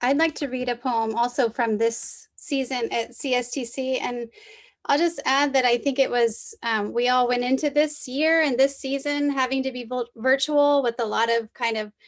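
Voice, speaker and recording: Woman w a highish voice, poetry mentor talking on a video call reading. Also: steady tone, moderate pace. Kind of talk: introducing and reading a poem aloud.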